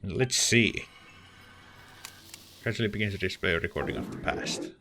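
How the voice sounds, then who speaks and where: A man giving casual game commentary as a let's player, reacting soft-heartedly and reading text aloud. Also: lowish voice, moderate pace, noisy background. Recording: music behind the voice.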